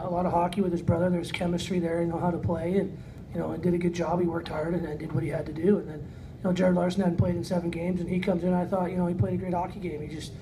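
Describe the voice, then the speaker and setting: A middle-aged man, an assistant hockey coach, giving plain-spoken post-game remarks peppered with "you know". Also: sits high. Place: indoor press conference room. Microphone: far camera. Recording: distant camera mic, noisy room.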